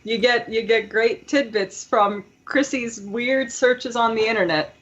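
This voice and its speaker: A woman's voice: on the high side, unhurried, fairly bright tone, muffled recording. A woman co-hosting a podcast in casual chat, informal and opinionated. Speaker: podcast co-host.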